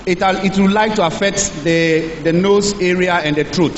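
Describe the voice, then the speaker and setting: A man with a Ghanaian English accent giving a health talk in a church, speaking in short phrases and pausing for an interpreter. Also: sits high, steady tone. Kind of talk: public talk through an interpreter. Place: church hall. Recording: echoey hall, dull lo-fi sound, faint hiss.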